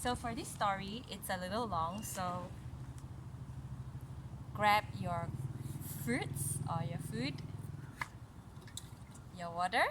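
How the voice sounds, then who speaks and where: A woman reading a picture book aloud to a young child, with gentle, encouraging, chatty asides to explain the pictures. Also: medium pitch, slow pace, pauses often. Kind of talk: casual read-aloud storytelling with chatty asides. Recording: noisy recording with vehicle-like background hum.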